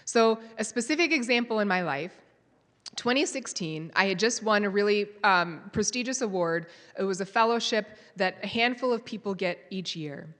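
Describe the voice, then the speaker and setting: A woman's voice: medium pitch, expressive, normal speed, a bit bright. A woman giving a live conference talk, telling a story and quizzing the audience. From United States. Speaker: conference speaker. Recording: long hall reverb.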